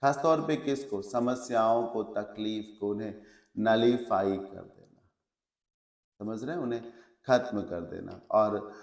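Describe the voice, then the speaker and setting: A man's voice: mid-range voice, a little mellow, reverberant, distant mic. A male English teacher giving an online vocabulary lesson, explaining each word in Hindi mixed with English terms, listing synonyms and checking in with his students. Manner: checks in with the class.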